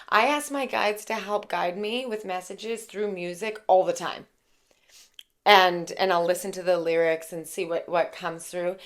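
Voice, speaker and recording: A woman with a raspy voice giving a casual, chatty tarot reading, full of fillers and warm asides to her viewers. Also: medium pitch. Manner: gushing, chatty, many fillers like "like" and "okay". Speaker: tarot reader and intuitive.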